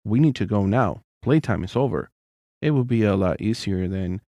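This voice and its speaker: A man with a deep voice co-hosting a casual parenting chat, earnest and prone to repeating his points. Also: animated, normal speed, warm tone, no room sound, dull audio. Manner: earnest, repeats himself, non-native phrasing. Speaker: co-host.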